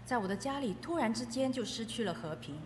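Fast-talking woman interpreter in a hall. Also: a little high, animated. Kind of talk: consecutive interpreting of a testimony, phrase by phrase. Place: echoey hall. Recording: echoey hall with faint noise.

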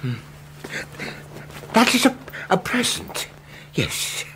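An actor playing an agitated, timid foreign professor in a radio drama, stammering and halting. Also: male voice, sits high, big pitch swings, a bit bright, echoey room, a little hiss or hum, full character voice. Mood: haunted, anxious.

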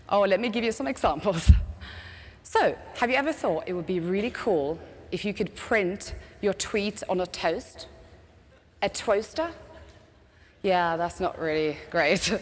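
Norwegian woman, British-tinged accent, lively keynote speaker, headset mic, big reverberant hall. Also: mid-range voice. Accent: Norwegian with British English mix. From Norway. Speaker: conference speaker, agency CEO. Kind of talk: keynote presentation. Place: large conference stage. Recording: long reverb of a large hall.

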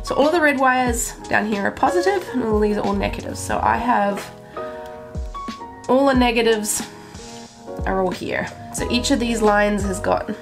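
Woman, 30s, congested, self-taught DIY vlogger, close handheld camera, guitar music bed. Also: mid-range voice. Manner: chatty, self-taught, excitable. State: congested from flu. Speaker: van-build vlogger. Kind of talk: casual DIY explainer. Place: inside a van under construction. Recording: guitar music playing underneath.

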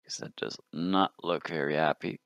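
A man's voice: a bass voice, fairly even intonation, normal speed, creaky, fairly bright tone, dry recording. A man chatting casually as a player in a tabletop roleplaying game.

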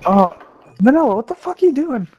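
A man's voice: extremely high pitch, big pitch swings, brisk, dull audio, a little hiss or hum. A twenty-year-old man joking and swearing with teammates on a headset while playing an online shooter. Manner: teasing, loud, swears a lot. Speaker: player recording the game. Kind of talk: casual gaming banter.

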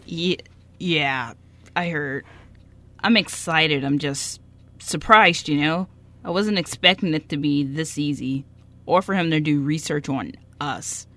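A woman's voice: deep voice, pushed and bright, full character voice. A woman playing a nervous, easily embarrassed young male actor with a hesitant manner, in an amateur radio play. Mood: nervous, doubtful. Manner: hesitant, halting.

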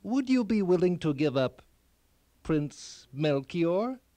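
Storybook elf, done by a man: old, small, sly but gentle, deliberate delivery, very animated pitch, dry close sound. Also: no echo, exaggerated voice. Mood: solemn, testing. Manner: measured, deliberate, solemn questioning. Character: tiny old male elf, cunning, gently commanding. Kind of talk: children's fairy tale read aloud.

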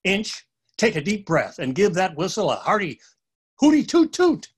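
A man's voice: high voice, wildly swooping pitch, normal speed, husky, pitched above the performer's own voice, exaggerated voice. A man voicing a small, eager young animal schoolchild with an earnest, questioning manner in a storybook. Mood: excited.

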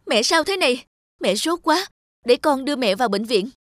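A woman as an emotional young cartoon son, high-pitched. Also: animated, normal speed, music in the background. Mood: alarmed. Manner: pleading, emotional. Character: remorseful, reckless young man, devoted son. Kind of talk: animated fairy tale voice acting.

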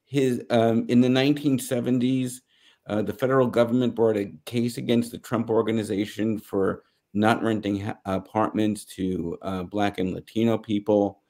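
A middle-aged American man giving news commentary on a video call, halting and searching for words. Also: lowish voice, steady tone, darkish tone. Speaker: panelist. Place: small home office, indoors.

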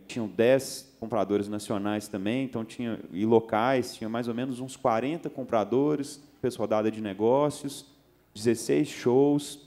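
A man's voice: medium pitch, dark tone. A young man with a Minas Gerais accent, speaking casually on a conference panel, loose and full of fillers, into a handheld mic on a theater stage. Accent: Minas Gerais Portuguese. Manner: loose, gesturing, many fillers. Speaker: panel speaker, festival organizer.

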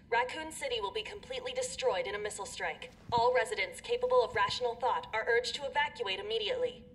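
Actress as formal emergency announcer, highish voice. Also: female voice, slight background noise, natural voice, poor audio. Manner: formal, measured. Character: female public emergency announcer, calm and official. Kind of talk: scripted emergency broadcast in a video game.

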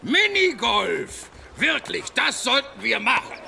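A very gravelly, forceful village blacksmith from a children's radio play. He is opinionated and excitable, and speaks with lively, emphatic swings. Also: very high voice, takes it slowly, a little hiss or hum, exaggerated voice. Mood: insistent. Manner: emphatic, animated. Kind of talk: children's audio drama acting.

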